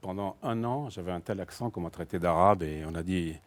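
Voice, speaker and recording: A man from Lebanon, a writer guest on a TV debate, terse and low-key. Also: a little fast, no room sound.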